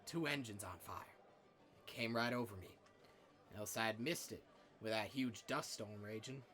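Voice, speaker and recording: An actor playing a sly, folksy scavenger, coy and conversational, in a space-western film. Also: male voice, lowish voice, moderate pace, fairly bright tone, close to an everyday voice. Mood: confiding. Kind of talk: naturalistic film dialogue.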